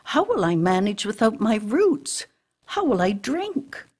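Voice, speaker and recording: A woman's voice: on the low side, big pitch swings, a little slow, slightly rough, heavily acted. A woman voicing a polite, curious young-hearted female yew tree in a storybook, full of wonder. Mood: puzzled. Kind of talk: storybook character reading.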